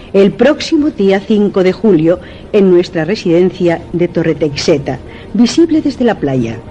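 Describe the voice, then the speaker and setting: An actress playing a formal, exacting lady employer in a radio drama, reading a letter in a measured, courteous way. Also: on the low side, mellow, a little hiss or hum, close to an everyday voice.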